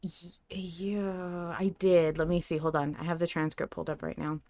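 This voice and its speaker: A woman's voice: on the low side, level tone, normal speed, a little mellow, no room sound, clean studio sound. A woman co-hosting a podcast, chatting casually and enthusiastically about a show she follows, with emphatic delivery. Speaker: podcast co-host. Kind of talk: casual fan discussion.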